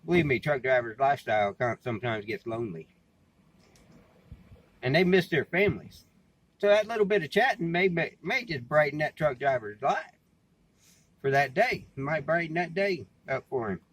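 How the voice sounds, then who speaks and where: A man's voice: a little high, expressive, moderate pace, fairly bright tone. An American man in casual, rambling vlog talk, plain-spoken and folksy, often repeating his phrases. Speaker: vlogger and CB radio hobbyist. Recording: clearly noisy background, amateur recording.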